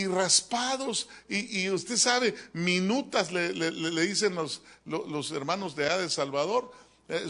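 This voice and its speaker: A male pastor delivering a sermon in a church, talking to his congregation in an animated, joking way. His voice sits a little high and moves constantly up and down. It sounds bright and forceful throughout. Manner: animated, joking, keeps calling listeners "hermano".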